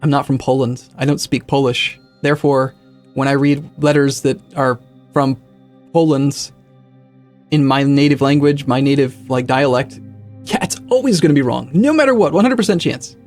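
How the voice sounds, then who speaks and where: A man's voice: medium pitch, moderate pace, darkish tone. A young man live-streaming a game, chatting casually, correcting himself often and answering chat as he sets things up. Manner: rambling, self-correcting, reads and answers chat. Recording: faint music in the background.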